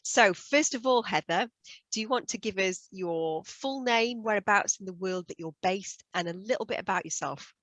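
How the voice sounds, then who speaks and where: An enthusiastic woman hosts a video call interview. Her voice is close and dry, with no room sound. She sits at a middle pitch and heaps praise on her guest. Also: moderate pace, dry recording. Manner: warm, enthusiastic, effusive praise. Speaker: host, hypnosis trainer.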